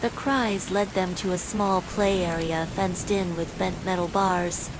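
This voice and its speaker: A woman reading a scripted bedtime horror story in a measured, suspenseful way, as a storyteller. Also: on the low side. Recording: steady loud rain noise throughout. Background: falling rain.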